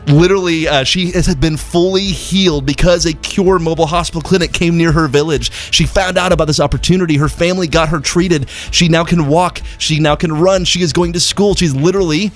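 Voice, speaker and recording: A man's voice: a little high, fairly even intonation, brisk, few pauses, faint music behind. A man raised in Zimbabwe, telling a child's story in an earnest, passionate charity appeal on the radio, often repeating words as he goes.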